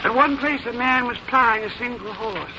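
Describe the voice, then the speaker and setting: An older woman acting an elderly rural mother in an old radio drama, reminiscing in a wistful, deliberate storytelling manner. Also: a little high, noisy background, natural voice. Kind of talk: 1940s-50s radio drama acting, first-person narration. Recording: muffled vintage radio broadcast, music behind.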